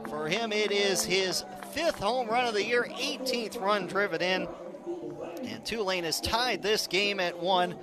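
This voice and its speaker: An American man calling live baseball play-by-play on the radio, measured between pitches and building to excited calls on big plays. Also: music in the background, crowd noise. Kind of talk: live radio sports commentary.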